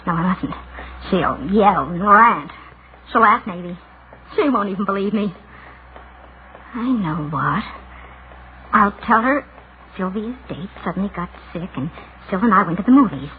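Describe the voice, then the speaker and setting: An actress playing a confused, anxious daughter in denial, in the emotional style of 1940s radio drama. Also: female voice, mid-range voice, expressive, natural voice. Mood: nervous, fretful. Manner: self-reproachful, halting soliloquy swinging into outbursts. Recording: noisy muffled 1940s radio recording.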